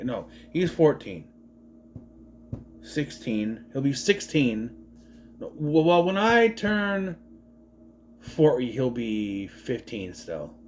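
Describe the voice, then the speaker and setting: A man's voice: fairly high pitch, a little hiss or hum. A man in his late thirties giving a casual movie review, rambling, swearing freely and wandering off on tangents. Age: 30s.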